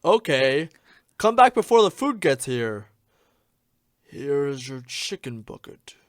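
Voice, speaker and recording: A man's voice: mid-range voice, big pitch swings, slow pace, no echo, studio quality, pitched below the performer's own voice. A man voicing an easygoing, greedy chicken in a radio comedy sketch, comically earnest and given to exclaiming.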